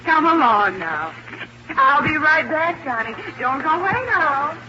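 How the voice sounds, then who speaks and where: A woman's voice: high voice, animated, a little slow. A woman playing a flirtatious, theatrical aging showgirl in a gushing, fluttery manner, in 1950s radio drama style. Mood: coquettish. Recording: dull, noisy vintage radio recording.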